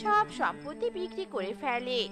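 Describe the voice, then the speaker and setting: A woman narrating a children's cartoon story, telling it in a lively storybook way. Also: high-pitched, moderate pace, music in the background.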